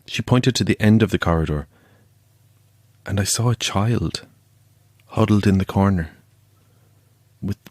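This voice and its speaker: An actor playing a haunted believer in the paranormal, telling a chilling tale in a deliberate, halting way for an audio drama. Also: male voice, lowish voice, a little mellow, dry recording, clean studio sound. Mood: haunted, hushed. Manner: deliberate, halting, suspenseful storytelling.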